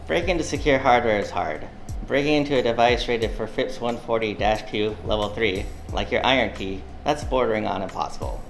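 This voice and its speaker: Low-voiced man in his forties, reading with confident punch in an echoey lab. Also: brisk, faint music behind. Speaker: company spokesman. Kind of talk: scripted open letter reading. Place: indoor lab with computers.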